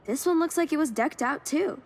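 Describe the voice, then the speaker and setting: A woman's voice: sits high, a little fast, a little mellow, slight background noise, lightly acted. A woman playing a keen car hunter in a video game, speaking with enthusiasm and admiration. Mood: excited, curious. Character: keen young woman car hunter finding lost classics. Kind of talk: scripted video game dialogue.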